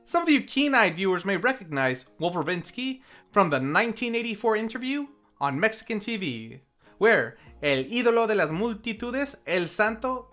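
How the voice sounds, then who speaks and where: Animated host narrating a scripted review. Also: mid-range voice. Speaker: host of a film review series.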